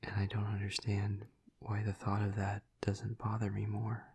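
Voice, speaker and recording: A man playing a tormented, tender vampire lover in an intimate audio roleplay, halting and stammering in a breathy, husky half-whisper. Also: on the low side, monotone, darkish tone. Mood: anguished, smitten.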